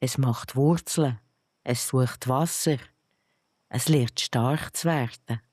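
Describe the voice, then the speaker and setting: A storyteller voicing a friendly, amused little cloud who explains things in a patient, gently teaching way. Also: mid-range voice, slow pace, no echo, studio quality. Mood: patient, wise.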